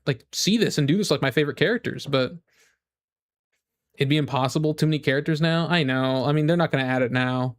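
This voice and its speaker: A man streaming casually to his viewers, rambling and repeating himself as he gives emphatic opinions in fan-rant style. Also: on the high side. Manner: rambling, with frequent "like" and repeated phrases. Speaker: game streamer.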